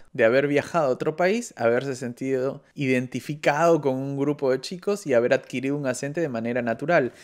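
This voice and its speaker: A man from Peru talking through personal stories in a casual, reflective monologue as host of a language-learning channel. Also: fairly high pitch. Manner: reflective, storytelling with personal anecdotes. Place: living in Germany.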